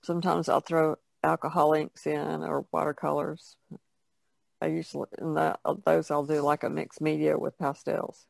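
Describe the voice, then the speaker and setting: A woman's voice: low voice, little pitch movement, normal speed, gravelly, darkish tone, no echo. A woman painter chatting casually as a podcast guest, explaining loosely with trailing asides. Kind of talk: casual chat about painting.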